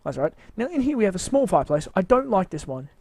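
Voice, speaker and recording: A man's voice: high-pitched, sing-song, quick pace, warm tone, no echo. A man giving a casual, rambling walkthrough of his game build, tired and with his voice wearing out, hesitant and mocking himself.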